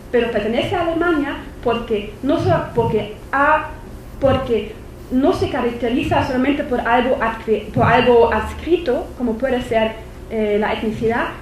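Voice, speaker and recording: A woman speaking with a German accent, a non-native speaker giving an academic conference lecture at a university, hesitant, with many fillers and restarts. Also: a little high. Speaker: visiting lecturer. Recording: echoey lecture hall, faint noise.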